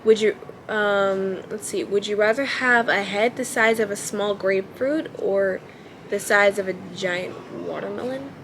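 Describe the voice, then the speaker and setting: A woman's voice: mid-range voice, a little slow, slight background noise. A young woman with a childlike voice, chatting casually to camera at home and thinking aloud with lots of ums. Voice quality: childlike, baby-ish voice. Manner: relaxed, hesitant, many ums while thinking aloud. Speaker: vlogger.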